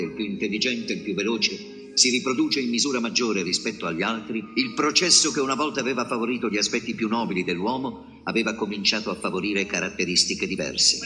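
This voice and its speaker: A man delivering scripted theatrical narration, recorded with long reverb. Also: mid-range voice. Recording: long hall reverb, music behind. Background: music.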